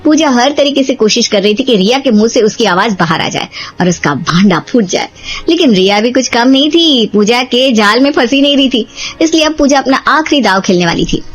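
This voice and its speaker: A woman's voice: on the high side, animated, a little fast. A woman narrating a dramatized family cartoon story as the storyteller. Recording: music behind the voice. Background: background music.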